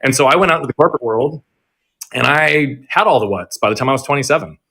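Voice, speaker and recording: A man in his thirties, a performance coach, telling his life story as a guest in an energetic, earnest and self-reflective way over a social audio app. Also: medium pitch, fast talker, poor audio. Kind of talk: conversational storytelling interview. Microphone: remote audio on a social audio app.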